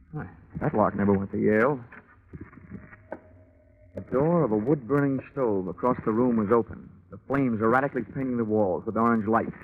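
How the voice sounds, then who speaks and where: An actor playing a wry, hard-boiled American investigator in a 1940s radio detective drama, deadpan and wisecracking. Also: male voice, medium pitch, a little hiss or hum, lightly acted. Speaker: detective narrator. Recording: muffled 1940s radio recording with music.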